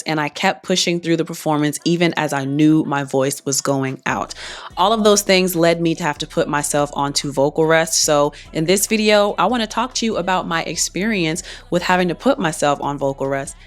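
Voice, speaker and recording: A young adult woman and singer chatting casually to camera in a soft-spoken, reflective way while recovering from laryngitis. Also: on the low side. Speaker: singer and vlogger. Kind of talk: casual talking-to-camera vlog.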